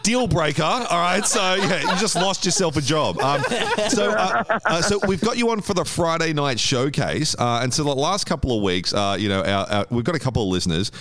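A man's voice: on the high side, animated, brisk, few pauses, fairly bright tone. A man hosting a comedy radio show, rambling and self-mocking in casual banter.

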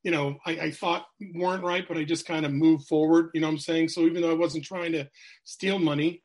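A man's voice: a little high, steady tone, brisk. A middle-aged man, a sales coach telling his life story as a podcast guest, candid and rambling with many fillers. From New Hampshire, USA.

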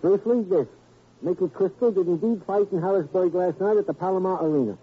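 An American actor playing a wordy, mock-formal police sidekick in a radio crime drama, with stilted, ornate phrasing. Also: male voice, high-pitched, fairly quick, slightly rough. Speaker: supporting actor. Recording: tinny, narrow old radio recording.

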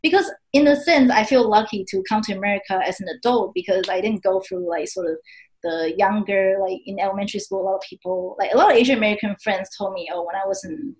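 A woman's voice: mid-range voice, lively intonation, fast, slight background noise, rough recording. A woman from Taiwan with a Taiwanese Mandarin accent, a podcast guest telling her story in casual chat, full of fillers and laughs. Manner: chatty, many fillers, laughs often. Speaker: guest author.

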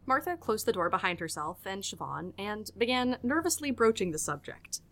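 A woman's voice: mid-range voice, sing-song, moderate pace. A woman reading scripted third-person narration for an audio drama as its storyteller.

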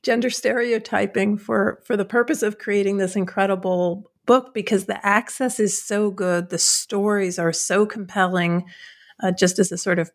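A woman's voice: mid-range voice, steady tone, moderate pace. A woman hosting an interview, asking follow-up questions and praising the guest's book with enthusiasm. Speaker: podcast host.

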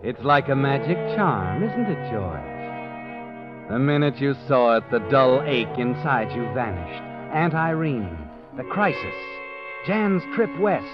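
An actor playing an ominous narrator who taunts the listener in second person, in a 1940s radio drama. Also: male voice, medium pitch, sing-song, slow, music in the background. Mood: mocking. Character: ominous all-knowing male narrator, mocking. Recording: dull old radio recording.

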